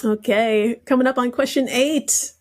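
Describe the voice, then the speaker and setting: A woman's voice: fairly high pitch, fairly even intonation, unhurried, faint music behind. A giggly, irreverent woman reading out a comedic quiz casually as a podcast guest.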